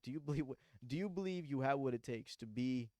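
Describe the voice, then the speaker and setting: A man in his twenties from Pakistan, chatting on a podcast in an animated, emphatic way with slangy asides. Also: medium pitch, brisk, dry recording, studio quality. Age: 20s. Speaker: podcast co-host. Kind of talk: casual chat.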